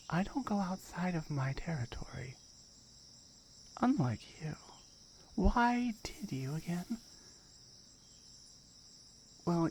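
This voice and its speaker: Tiger girl played by a woman: territorial, firm, matter-of-fact ASMR roleplay. Low voice with a gravelly creak. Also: warm tone, a little hiss or hum, exaggerated voice. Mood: stern, annoyed. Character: firm, dominant tiger girl guarding her jungle territory.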